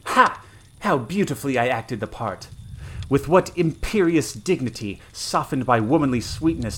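A man performs a wicked young witch from a fantasy storybook, cruel and mocking. The delivery is sneering and grandly theatrical at a middling male pitch, with clear noise behind it. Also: close to an everyday voice. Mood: mocking scorn. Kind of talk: dramatic fantasy story reading.

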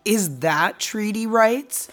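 A woman acting as a serious, reflective friend in a naturalistic audio drama, speaking in a measured, deliberate way. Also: mid-range voice, a bit bright. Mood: grave.

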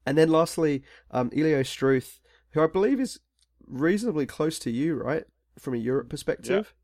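A man's voice: a little high, lively intonation, normal speed, darkish tone, no room sound, studio quality. A man hosting a tech podcast, talking in a rambling, casual way with many fillers and restarts. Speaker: podcast host.

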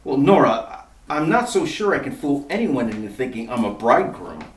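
A man's voice: mid-range voice, very animated, normal speed, natural voice. A man playing a dry, reluctant middle-aged husband and amateur sleuth in a retro radio comedy. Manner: dry, grudging. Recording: echoey room, distant from mic.

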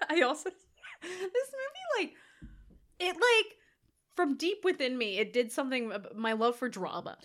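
A woman's voice: high-pitched, lively intonation, unhurried, pauses often, a bit bright. A woman co-hosting a casual film-review chat, sounding stuffy and nasal, giggling and gushing with lots of "like" and restarts. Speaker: podcast co-host.

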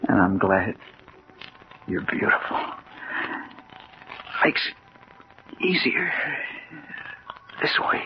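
A male actor in a 1940s radio drama playing a gravely wounded, frightened man with a halting, gasping, overwrought delivery. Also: low voice, big pitch swings, in a whisper, full character voice. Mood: weary, resigned. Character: terrified, gravely wounded man facing Death. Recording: dull, noisy 1940s radio recording.

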